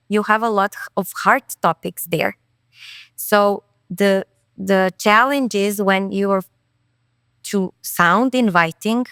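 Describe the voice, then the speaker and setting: A woman speaks in an interview, earnest and thoughtful, with quick self-corrections. Her voice is smooth and clear at a middle pitch. The sound is dry and close. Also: fairly bright tone, no echo. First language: Serbian. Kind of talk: podcast interview conversation.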